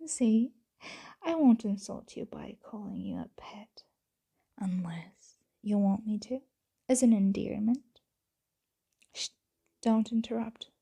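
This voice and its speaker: A woman voicing a possessive yet tender vampire owner in an ASMR roleplay, speaking softly and often whispering in formal, gently commanding words close to the mic. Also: medium pitch, sing-song, full character voice. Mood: tender, intimate. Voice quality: soft, breathy, often whispered. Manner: slow, hushed, gently commanding, formal wording. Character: possessive, tender female vampire owner addressing her servant.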